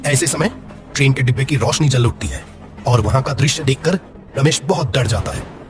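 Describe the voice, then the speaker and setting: A man's voice: mid-range voice, moderate pace, music in the background. A man narrating a scripted horror story dramatically.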